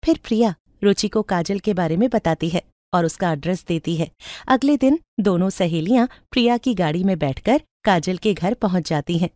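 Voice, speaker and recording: A woman's voice: lowish voice, lively intonation, normal speed, a little mellow, no echo, clean studio sound. A woman reading scripted storybook narration.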